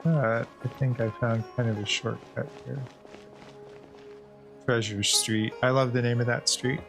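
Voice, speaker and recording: Man, game streamer, mid-pitched voice, laid-back rambling chat, light game music behind. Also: steady tone, measured pace, creaky. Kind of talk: casual chat while playing a game, reading lines aloud.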